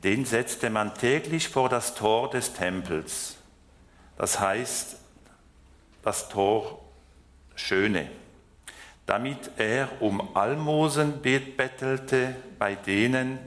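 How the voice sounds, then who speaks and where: Male lay preacher, Swiss German accent, French first language, slow and hesitant delivery. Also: lowish voice, fairly bright tone. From France. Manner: slow, hesitant, with fillers and restarts. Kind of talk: sermon.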